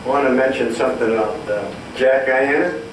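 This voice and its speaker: A man's voice: medium pitch, steady tone, a little fast. A man in the audience adding an eager memory about a local shopkeeper. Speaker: audience member. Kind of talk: casual recollection. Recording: echoey hall, distant mic, noisy.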